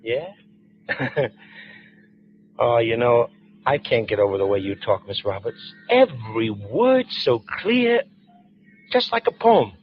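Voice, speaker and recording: A man playing a brash young police lieutenant in 1940s radio drama, talking fast in easy slang. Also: mid-range voice, sing-song. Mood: smitten. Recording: dull lo-fi 1940s broadcast with faint hiss.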